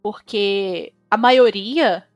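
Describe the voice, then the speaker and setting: An opinionated woman from Brazil with a Brazilian Portuguese accent talks as a chef in a relaxed interview. Her voice sits at a middle pitch and leaps up when she stresses something. The sound is dry and close, with faint music underneath. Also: drawn-out words, no echo. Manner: animated, opinionated, rising sharply when emphatic. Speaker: chef, guest. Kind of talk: casual conversation.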